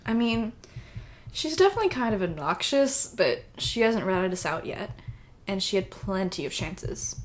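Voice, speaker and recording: An actress as a college-age woman vigilante in an audio drama. She talks casually and confidently, with a teasing, caring streak and a medium pitch. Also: big pitch swings, normal speed, a little hiss or hum, natural voice. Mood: gentle, steady. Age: young adult. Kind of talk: naturalistic audio drama dialogue.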